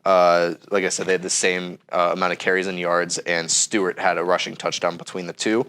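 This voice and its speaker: A man's voice: low voice, a little fast, clear, resonant tone. A young man co-hosting a casual sports chat, sounding stuffy from a cold as he works through stats with frequent ums. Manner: steady, methodical, frequent ums. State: stuffy, under the weather. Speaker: co-host.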